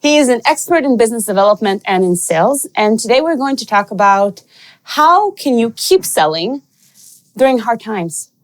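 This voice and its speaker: A woman's voice: fairly high pitch, sing-song, moderate pace. A woman hosting an interview podcast, introducing her guest and asking curious questions. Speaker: podcast host.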